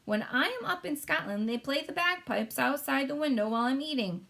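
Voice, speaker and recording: A woman voicing a gracious, composed Queen in a storybook, speaking in a polite, measured, formal way. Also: sits high, lively intonation, gravelly, a bit bright, pitched above the performer's own voice, heavily acted.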